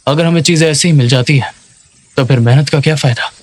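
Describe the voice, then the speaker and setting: A man dubbing a calm, resolute boss in a drama, speaking in a curt, serious way. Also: on the high side, fairly quick, natural voice. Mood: resigned, serious. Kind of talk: Hindi dubbed drama acting.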